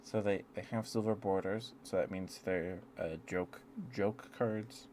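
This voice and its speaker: A man with a very low voice chats casually on an ASMR livestream, with clear noise behind him. He meanders and hesitates as he talks to his viewers, often sounding apologetic. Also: fairly even intonation, measured pace, darkish tone. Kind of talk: rambling ASMR stream, casual chat with viewers.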